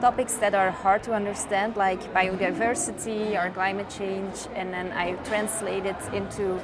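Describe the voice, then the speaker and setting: A woman's voice: medium pitch, level tone, moderate pace. A woman whose first language is Dutch, answering interview questions as a sustainability consultant in an earnest, upbeat, step-by-step way. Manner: earnest, positive, explains step by step. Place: trade fair. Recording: busy crowd noise behind.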